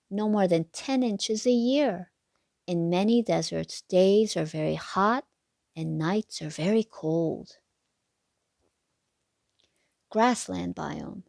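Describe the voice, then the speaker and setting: Female storybook reader, unhurried and expressive, slight creak in the voice, close dry sound. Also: lowish voice, no echo. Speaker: read-aloud narrator for children. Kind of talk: children's picture book read-aloud.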